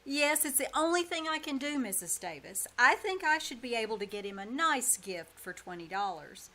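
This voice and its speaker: A woman's voice: fairly high pitch, very animated, normal speed, bright, forceful tone. A woman playing a wry, long-suffering schoolteacher in a live radio comedy, with dry, sardonic delivery. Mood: dismayed, sardonic. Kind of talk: live old-time radio comedy acting. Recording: live stage performance with background noise.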